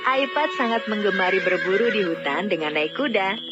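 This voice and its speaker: A woman's voice: mid-range voice, expressive, normal speed. A woman narrating a scripted fairy tale as a storyteller. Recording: dull lo-fi audio, music bed. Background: background music.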